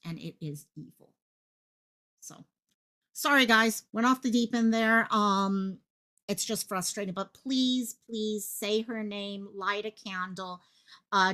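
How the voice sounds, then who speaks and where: A woman's voice: mid-range voice, fairly bright tone. A woman hosting her own online channel, speaking with passion, repeating phrases for emphasis and projecting her voice the way a stage actor does. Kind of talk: impassioned livestream commentary.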